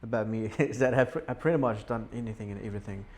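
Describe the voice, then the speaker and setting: A young man who grew up in Syria, a podcast guest telling his life story in a relaxed, chatty way with frequent fillers. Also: on the low side, quick pace. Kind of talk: casual podcast interview. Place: indoor podcast setup.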